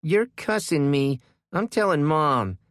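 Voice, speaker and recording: A man's voice: on the high side, animated, takes it slowly, a bit bright, no echo, studio quality, pitched above the performer's own voice. A man voicing a stubborn, whiny little girl who refuses to budge, in an audiobook. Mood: defiant. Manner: defiant, whining.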